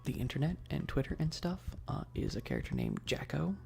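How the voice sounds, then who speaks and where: A man chatting casually on an ASMR game stream in a soft voice that often slips toward a whisper, laid-back and sweary with slangy, hesitant enthusiasm. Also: medium pitch, wildly swooping pitch, brisk, gravelly, soft background music. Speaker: streamer hosting a live stream. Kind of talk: casual rambling ASMR stream chat about games.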